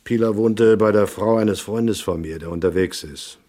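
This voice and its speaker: A man's voice: lowish voice, moderate pace, mellow, lightly acted. An actor playing a cool, tough private detective in a noir radio drama, laconic and matter-of-fact as he narrates and talks. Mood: terse, dry. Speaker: narrator and lead character.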